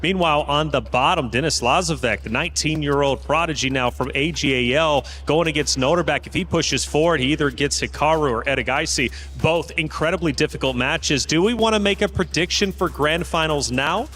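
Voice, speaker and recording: A man's voice: on the high side, fairly quick, hardly any pauses, a bit bright. A man hosting an esports broadcast desk in an upbeat presenting style, putting questions to analysts and setting up what comes next.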